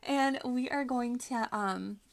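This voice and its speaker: Woman streamer with a creaky, fry-laced voice. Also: high-pitched. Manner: giggly, self-deprecating, rambling. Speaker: video game streamer. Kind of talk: casual livestream chat over gameplay.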